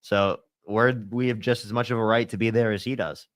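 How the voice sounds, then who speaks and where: A man's voice: on the low side, fairly even intonation, fairly quick, dry recording, studio quality. A young man hosting a casual livestream, answering chat in a laid-back way full of fillers, a little congested with a sore throat. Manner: laid-back, filler-heavy, lots of "like" and "you know".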